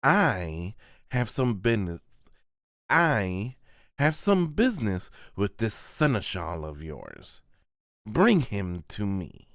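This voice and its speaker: A menacing villain lord for a manga dub, mocking and theatrical. He drawls his threats slowly and swings wildly in pitch, recorded close and dry. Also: very animated, fairly bright tone, no echo, full character voice. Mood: imperious. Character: menacing, mocking male lord and mad experimenter. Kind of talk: amateur manga voice-over.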